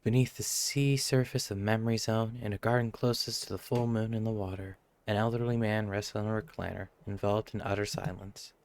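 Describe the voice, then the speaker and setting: A man's voice: lowish voice, level tone, fairly quick, gravelly, few pauses. A man streaming a game, giving offhand commentary and reading text aloud, sometimes stumbling over it.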